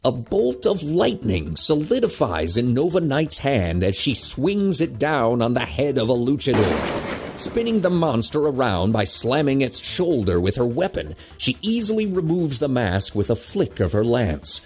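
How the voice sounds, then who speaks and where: A man's voice: mid-range voice, big pitch swings, a little slow, a little mellow, breathy edge. A man narrating a scripted action audio drama with dramatic storytelling delivery.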